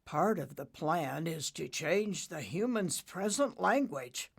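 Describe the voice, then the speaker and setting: Brief: smug booming old storm-cloud dad, woman reader. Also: animated, slow, vocal fry, breathy edge, heavily acted.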